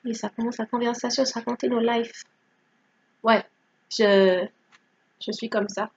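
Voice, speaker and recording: A woman's voice: fairly high pitch, steady tone, measured pace, dull audio. Playful joking woman from Guadeloupe. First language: English. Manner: playful, joking, code-switching. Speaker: vlogger. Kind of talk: casual testimony vlog.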